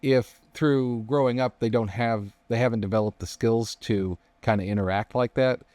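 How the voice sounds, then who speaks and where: A man's voice: mid-range voice, steady tone, moderate pace, a little mellow, dry recording. A man co-hosting a casual discussion, carefully and somewhat tentatively qualifying his points. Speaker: podcast co-host.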